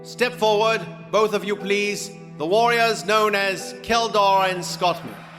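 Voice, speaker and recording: A man's voice: high-pitched, slow pace. An actor playing a proud fantasy duke in an audio drama, formally proclaiming to his citizens. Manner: formal, declamatory. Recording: long hall reverb over music.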